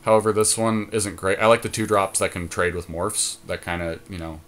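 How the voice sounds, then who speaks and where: Deep-ish guy on a gaming podcast, casual card draft talk, kinda analytical and opinionated. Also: flat delivery, fairly quick, a bit bright. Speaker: podcast co-host.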